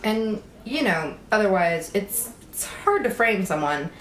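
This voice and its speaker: A woman's voice: mid-range voice, expressive, a little slow, a bit bright. A woman playing a pragmatic, teasing villain's friend and accomplice in an audio drama, speaking casually. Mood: teasing, practical. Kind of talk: naturalistic audio drama acting. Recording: echoey room with faint background noise.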